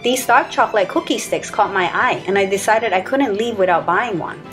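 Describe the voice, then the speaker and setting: A woman in her thirties or forties reading a scripted voice-over, listing her grocery purchases in an even, matter-of-fact way. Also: medium pitch, moderate pace. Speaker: grocery haul vlogger. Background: soft background music.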